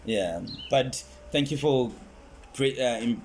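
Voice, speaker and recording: A man's voice: medium pitch, slow pace, darkish tone. South African male host, casual rambling storyteller, mid voice, faint noise. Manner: rambling, lots of fillers, "you know what I mean". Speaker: podcast host. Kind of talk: casual podcast chat, storytelling.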